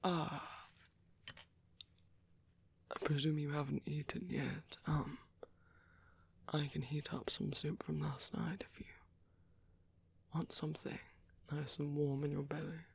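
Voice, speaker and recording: A man acts out a gentle vampire priest for an ASMR roleplay. The voice is very breathy and hushed, with a creaky edge. He talks slowly and hesitantly, soothing and coaxing his partner with tender care. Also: fairly high pitch, flat delivery, exaggerated voice.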